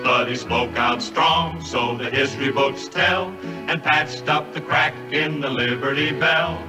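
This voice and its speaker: A man's voice: a little high, measured pace. A man singing and half-speaking a 1950s Western ballad as a storytelling narrator. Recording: vintage record, echoey, distant, over music. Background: musical accompaniment.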